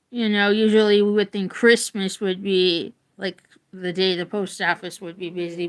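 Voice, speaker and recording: A woman's voice: mid-range voice, poor audio. A woman reading a children's nonfiction book aloud like an educator, adding informal, casual asides.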